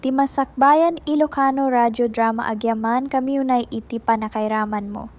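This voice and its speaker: A woman's voice: on the high side, fairly even intonation, moderate pace, over music. A woman announcer reading a scripted introduction for a radio drama.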